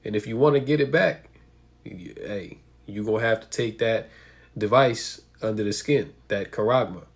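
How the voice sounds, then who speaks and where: A man's voice: lowish voice, normal speed. A man with a stuffy nose from a cold, giving emphatic preaching commentary and asking rhetorical questions. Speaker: religious commentator.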